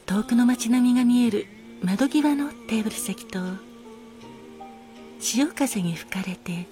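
A woman's voice: on the high side, measured pace, mellow. A woman in a scripted solo radio-drama narration, speaking formally and politely as the courteous master of a seaside cocktail bar. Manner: polite, formal hospitality speech. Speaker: podcast host playing bar master. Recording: music behind the voice. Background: music.

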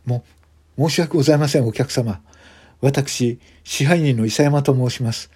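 A man's voice: medium pitch, normal speed, dark tone, slightly rough, natural voice. A man voicing a deferential hotel manager who speaks in formal, apologetic language. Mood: flustered.

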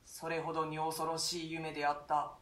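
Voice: medium pitch, completely flat delivery, normal speed, fairly bright tone, reverberant, close to an everyday voice. A voice actor playing an imprisoned duke who recounts a nightmare in archaic, solemn speech. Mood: fearful. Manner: archaic, solemn storytelling with fearful gasps. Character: imprisoned duke haunted by a nightmare, male, grave. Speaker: voice actor in a radio reading. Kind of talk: classic stage play read as radio drama.

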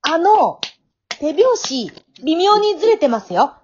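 A woman's voice: very high voice, sing-song, slow pace, a little mellow, highs cut off, heavily acted. A woman acting a polite, frank young woman who makes puzzled, matter-of-fact remarks in an amateur radio drama.

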